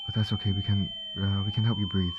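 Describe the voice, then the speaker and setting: A man playing a gentle, reassuring ambulance medic in an ASMR comfort roleplay, speaking in a soothing, coaxing, attentive way. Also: fairly low pitch, steady tone, fast, muffled recording, very noisy, natural voice. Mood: urgent, reassuring. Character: gentle, reassuring male ambulance medic tending an injured patient.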